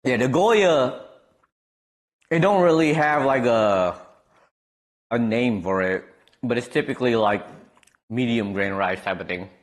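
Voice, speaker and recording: Young male streamer, Midwestern accent, easygoing rambling chat, mid-pitched voice. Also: unhurried, long pauses. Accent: Midwestern American. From Wisconsin, US Midwest. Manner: laid-back, rambling, lots of fillers, animated when amused. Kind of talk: casual chat with viewers.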